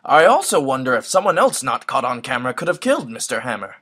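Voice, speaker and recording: A man playing a pompous old courtroom judge in an amateur fan dub of a game, formal and ponderous, with a husky voice. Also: medium pitch, big pitch swings, fast talker, fairly bright tone. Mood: pondering. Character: pompous, deliberating old male courtroom judge, easily swayed.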